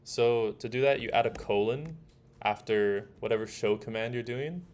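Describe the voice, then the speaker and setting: Casual male workshop instructor, clear background noise. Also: medium pitch. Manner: casual, halting, thinks aloud. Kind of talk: informal hands-on tutorial, explaining while typing. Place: indoor workshop room. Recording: noisy room with keyboard typing. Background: keyboard typing, room noise.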